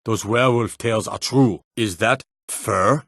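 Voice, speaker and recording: A man's voice: lowish voice, unhurried, gravelly, a bit bright, slightly rough, no echo, heavily acted. An actor playing a wary, plainspoken male city guard in a fantasy video game, with a stern, folksy way of talking. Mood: suspicious. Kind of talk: scripted fantasy game dialogue.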